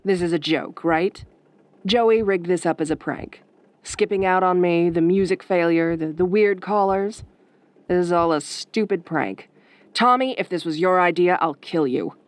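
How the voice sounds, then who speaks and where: A woman acting as a sardonic late-night radio DJ in a horror audio roleplay, soft-spoken and velvety with a dry, wry delivery. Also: on the low side, unhurried, no room sound, natural voice. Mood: sardonic, baffled.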